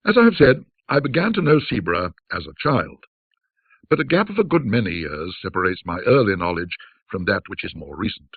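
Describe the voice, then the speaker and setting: A man reads a classic ghost story in a low, husky voice. His delivery is measured and theatrical, with wide, lively swings of intonation. The recording is dry but very dull and muffled, like lo-fi audio. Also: dry recording. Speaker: narrator. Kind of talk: scripted ghost story narration in classic British storytelling manner.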